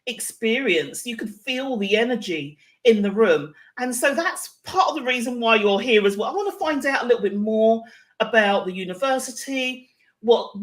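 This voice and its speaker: A woman hosting a live online interview show, speaking in a chatty, effusive and encouraging way. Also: on the high side. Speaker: show host.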